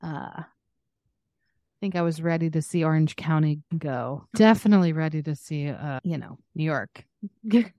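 Casting: female podcast co-host, lowish voice, informal and chatty, very dry close sound. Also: normal speed, mellow, dry recording. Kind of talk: casual chat.

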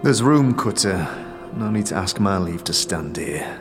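A man's voice: deep voice, lively intonation, unhurried, music in the background. A man voicing a rueful, plain-spoken working man with slangy talk in a fantasy audio drama. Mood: rueful, gruff. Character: gruff, rueful working man with thick bullish neck.

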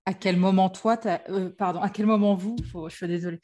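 A woman's voice: medium pitch, steady tone, a little mellow. Friendly woman podcast host. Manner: friendly, apologetic, with quick self-corrections. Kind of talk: podcast interview.

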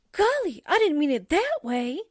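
A woman playing a sarcastic, slangy, excitable female sidekick in a radio drama. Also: extremely high pitch, takes it slowly, no echo, clean studio sound, full character voice. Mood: jumpy, startled. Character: wisecracking, jumpy, sarcastic female newspaper sidekick.

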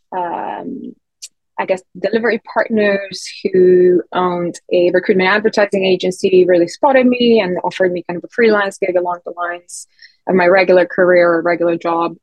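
A woman's voice: mid-range voice, darkish tone, no room sound, muffled recording. A woman in her thirties, originally from Bulgaria, chatting freely as a podcast guest about her career, with many fillers and restarts. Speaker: guest, head of people experience. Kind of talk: conversational podcast interview.

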